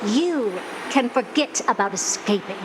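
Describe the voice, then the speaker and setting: A woman's voice: a little high, animated, measured pace, very noisy. Arrogant female genius, video game character, condescending, theatrical, playfully cruel, music behind. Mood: scornful. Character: haughty, self-assured female genius scientist, playfully cruel. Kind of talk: dramatic video game voice acting.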